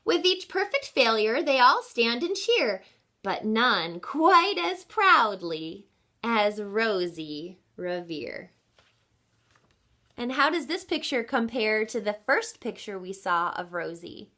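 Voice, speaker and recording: Lady teacher reading a kids book, slow and really animated, stresses words carefully. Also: a little high. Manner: teacherly, asks listeners questions, deliberate emphasis. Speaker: reading teacher, read-aloud host. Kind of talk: expressive picture-book read-aloud for children.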